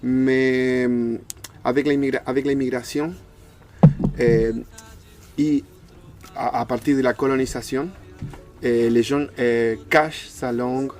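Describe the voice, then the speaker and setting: A man's voice: medium pitch, level tone, unhurried, long pauses, music in the background. An Argentine man in his thirties, a native Spanish speaker, talking casually in an interview and searching for words with a Spanish accent. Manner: hesitant, searching for words in a second language. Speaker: interview guest.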